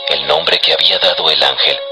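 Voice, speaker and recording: A man narrating scripture as a solemn, measured storyteller for a dramatized audio production. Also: a bass voice, sharp tone, soft background music.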